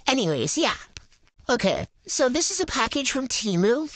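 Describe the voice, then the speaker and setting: A teenage girl chatting casually while unboxing a haul, gushing and excitable with lots of fillers. Her voice runs through a voice changer effect, recorded on a handheld phone in her bedroom. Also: sits high, normal speed, no echo. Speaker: vlogger showing a haul.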